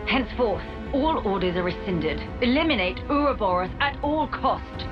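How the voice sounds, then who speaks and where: Actress as female commander, imperious, formal, highly varied intonation, muffled sound, game villainy. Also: expressive, moderate pace, close to an everyday voice. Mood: commanding. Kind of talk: video game voice acting. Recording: muffled, loud music behind.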